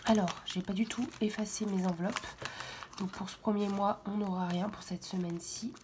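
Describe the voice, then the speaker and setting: A hoarse woman speaking softly and half-whispering at home in the early morning, hesitant and full of fillers as she walks through her weekly budget. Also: medium pitch, fairly even intonation, moderate pace, background noise. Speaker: budget vlogger. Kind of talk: casual budget walkthrough.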